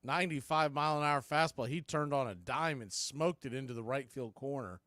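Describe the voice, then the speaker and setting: A man's voice: mid-range voice, fairly quick, pushed and bright, no room sound, studio quality. A man giving live baseball play-by-play commentary as an announcer, in clipped phrases that swell with the action. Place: indoors, calling the game from a video feed.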